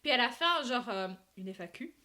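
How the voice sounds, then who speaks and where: A woman hosting a casual podcast chat, lively and asking her guests questions. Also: a little high, normal speed. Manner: animated, asks questions.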